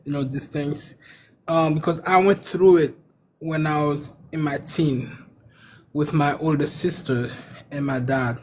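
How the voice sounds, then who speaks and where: A man with a Haitian accent shares a personal story in casual chat. His voice sits a little high and he often stumbles and repeats words. There is faint background noise. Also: fairly even intonation, a little mellow. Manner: halting, stammering repetitions.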